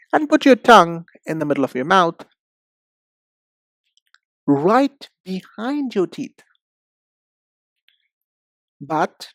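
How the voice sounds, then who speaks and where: Indian guy teaching english pronunciation, slow careful speech, really dry sound. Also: sits high, a bit bright, no echo. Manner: deliberate, carefully enunciated. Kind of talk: instructional lesson with repeat-after-me drills.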